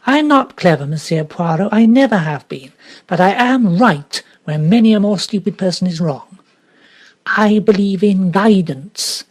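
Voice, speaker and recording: A man's voice: sits high, animated, moderate pace, pitched above the performer's own voice. A man doing an emphatic old lady. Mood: insistent. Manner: emphatic, insistent. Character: forthright elderly English lady, emphatic and stubborn.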